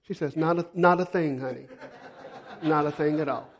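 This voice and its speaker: A man preaching a sermon as a missionary, telling folksy travel stories, repeating phrases for emphasis and swinging from loud to hushed. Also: a little high, normal speed. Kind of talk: sermon with storytelling.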